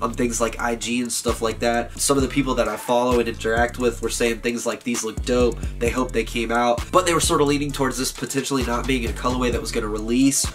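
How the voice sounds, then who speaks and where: A man in his thirties with a cold, sounding nasal and raspy, giving an upbeat, slangy sneaker review while seated indoors close to the camera. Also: mid-range voice, flat delivery, fast, hardly any pauses, faint music behind. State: congested with a cold. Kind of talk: casual product review. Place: indoor room lined with shoe boxes.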